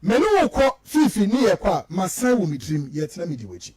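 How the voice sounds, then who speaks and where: A man's voice: high-pitched, big pitch swings, fast talker, a little mellow, dry recording. A Ghanaian man giving emphatic, repetitive political commentary as he switches between two languages, full of rhetorical turns.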